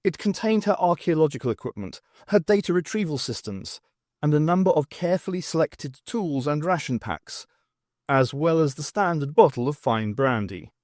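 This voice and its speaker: A man reading a science fiction audiobook as narrator, in an expressive storytelling manner. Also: fairly high pitch, normal speed, no echo, clean studio sound.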